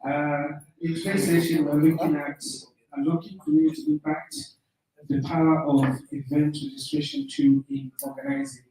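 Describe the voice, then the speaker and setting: A man with a Zambian accent moderating a session, introducing it informally and haltingly. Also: fairly high pitch, creaky, mellow. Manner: hesitant, halting. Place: conference room. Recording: very echoey room, distant mic.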